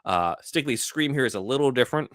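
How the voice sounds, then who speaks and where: A man's voice: mid-range voice, animated, fast talker, fairly bright tone, no room sound, clean studio sound. A man hosting a podcast, chatting and explaining things in a casual film discussion. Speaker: podcast host.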